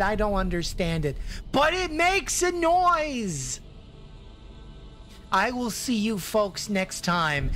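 A woman voicing an excitable, scatterbrained space station host who chats casually and rambles in a flustered way. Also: medium pitch. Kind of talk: casual in-character host chat.

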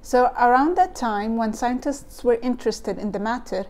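Egyptian-accented young woman with a mellow voice. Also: on the high side, moderate pace. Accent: Egyptian Arabic. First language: Arabic. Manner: nervous, thoughtful, with frequent ums and likes. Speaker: vlogger. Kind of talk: casual explainer vlog. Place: home room with bookshelves, indoors. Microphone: lapel mic, camera close.

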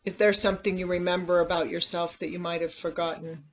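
A meditation guide speaking in a measured, instructional way with long pauses between phrases. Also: mid-range voice, flat delivery. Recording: dull, lo-fi recording.

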